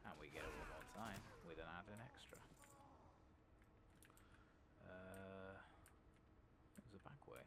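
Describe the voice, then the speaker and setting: A man giving soft-spoken, partly whispered ASMR commentary while playing a game, muttering in a hushed, unhurried way. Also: sits low. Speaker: game streamer. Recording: noisy with clicking and game ambience.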